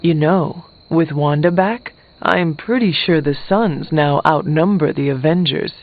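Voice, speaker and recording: An actor playing a steadfast, stern warrior hero in a video game, speaking in a plain, formal, resolute way. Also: male voice, a little high, measured pace, phone-quality sound. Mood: calm. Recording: band-limited game audio with background music.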